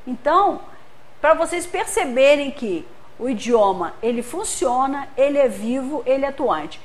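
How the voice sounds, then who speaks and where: A woman from Brazil gives a lecture with a high, light voice. She explains things patiently, uses homely examples and keeps checking that her listeners are following. Her tone is that of a practiced speaker addressing a gathered audience. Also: lively intonation, unhurried. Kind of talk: spiritist center lecture.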